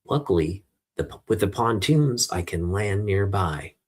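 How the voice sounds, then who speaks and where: Low, husky man narrating a comic. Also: expressive, measured pace, darkish tone. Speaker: host reading comics aloud. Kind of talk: dramatic comic book narration.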